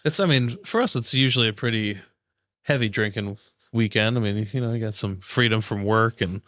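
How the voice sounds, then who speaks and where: Bright, crisp-voiced male podcast co-host. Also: lowish voice, fairly quick, no room sound, studio quality. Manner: slangy, informal. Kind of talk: casual sports banter.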